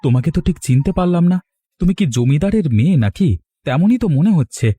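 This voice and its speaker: A man's voice: a little high, lively intonation, fast, dark tone, husky, dry recording. A man playing a poor, hardworking young farmer in a Bengali cartoon, humble, plain-spoken and resigned. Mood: skeptical, plain-spoken.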